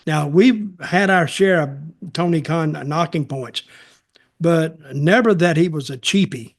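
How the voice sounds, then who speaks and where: A man's voice: a little high, measured pace. A man co-hosting a podcast, giving casual opinions in a blunt, sarcastic, folksy way. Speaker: podcast co-host, wrestling commentator.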